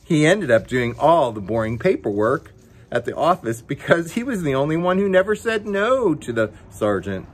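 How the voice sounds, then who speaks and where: A man's voice: fairly high pitch, very animated, normal speed, slightly rough. A man reading a picture book aloud to children, playfully coaxing them and chatting directly to them between passages. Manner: playful, coaxing, talks directly to young viewers. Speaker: story time host reading to children.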